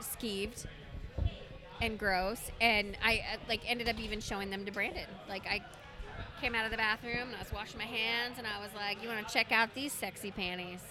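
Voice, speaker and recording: A woman's voice: medium pitch, fairly even intonation, normal speed. A middle-aged woman co-hosting a casual chat, candid and chatty, full of fillers. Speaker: podcast co-host.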